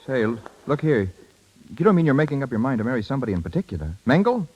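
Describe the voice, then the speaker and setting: Young man, boyish, easygoing, slangy, lively mid-pitched voice, vintage radio drama. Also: fast, breathy edge, dull audio. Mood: urgent, ardent. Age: young adult. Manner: slangy, stammering. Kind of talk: 1930s radio acting.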